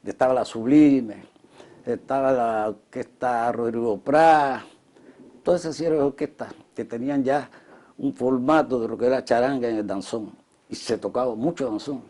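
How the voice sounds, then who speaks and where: A man with a Cuban accent speaks in a dark, mellow voice of middling pitch. He is giving an interview, recalling the past in a conversational way. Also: steady tone, moderate pace. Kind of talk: interview recollection of music history.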